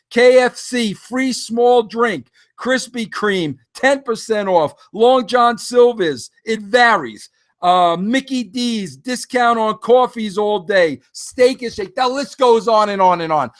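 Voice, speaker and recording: Animated fifty-something man, high voice. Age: 50s. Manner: rambling, animated, frequent restarts and fillers; addresses listeners directly. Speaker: podcast host. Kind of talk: casual solo monologue.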